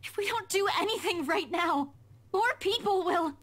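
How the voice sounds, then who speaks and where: Very high-pitched, desperate young woman. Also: animated, normal speed, fairly bright tone. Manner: urgent, pleading. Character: desperate, stubborn young woman pleading for belief. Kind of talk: visual novel game voice acting.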